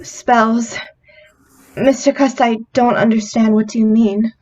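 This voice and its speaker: An actress playing a gentle, frightened, disbelieving young woman in an old time radio drama. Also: a little high, steady tone, takes it slowly, dull audio. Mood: soothing, puzzled.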